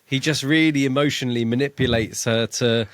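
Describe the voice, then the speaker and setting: A man co-hosting a podcast, discussing a book casually in a measured, reflective way. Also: mid-range voice, steady tone, no echo. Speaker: podcast co-host.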